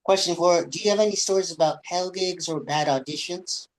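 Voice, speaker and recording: A man's voice: high-pitched, fairly quick, poor audio. A man interviewing, reading numbered questions in a brief, plain way.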